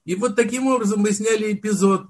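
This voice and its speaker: An older man with a husky, breathy voice that sits high for a man. He reminisces at an easy pace, often stopping and restarting mid-word as he recalls details. It sounds like a relaxed conversational interview. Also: fast. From Soviet Union. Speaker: film director, interview guest. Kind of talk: unhurried storytelling in conversation.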